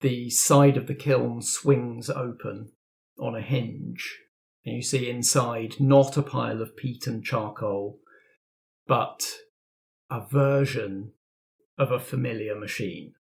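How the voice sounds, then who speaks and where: A man narrating a tabletop roleplaying game as game master, in deliberate, descriptive phrases. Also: medium pitch, fairly even intonation, vocal fry.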